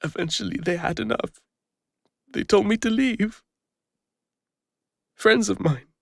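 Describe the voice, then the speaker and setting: Man acting a creaky-voiced, bashful English ranger. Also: sits high, dry recording, studio quality, heavily acted. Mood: vulnerable, regretful. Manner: hesitant, soft-hearted, halting. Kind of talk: intimate scripted audio roleplay addressed to the listener.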